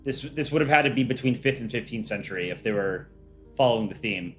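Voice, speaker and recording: A man's voice: mid-range voice, animated, fast, fairly bright tone, faint music behind. A man hosting an art contest on a livestream, reading out viewer chat and answering it offhand in casual talk.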